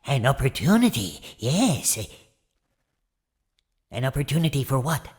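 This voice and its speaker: A man's voice: mid-range voice, big pitch swings, unhurried, breathy edge. An actor playing a deferential male aide to an undersea ruler in an audio drama. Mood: obsequious. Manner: obsequious.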